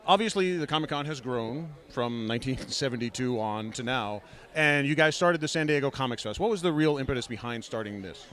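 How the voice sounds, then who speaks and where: A man's voice: mid-range voice, expressive, brisk, a bit bright. A man doing a casual on-site interview, enthusiastic and complimentary as host.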